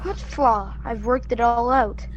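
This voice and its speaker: A woman's voice: fairly high pitch, a little slow, lo-fi sound, slight background noise, lightly acted. A young woman playing a brainy, bossy, urgent teenage boy navigator in a sci-fi radio play. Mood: worried. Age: young adult.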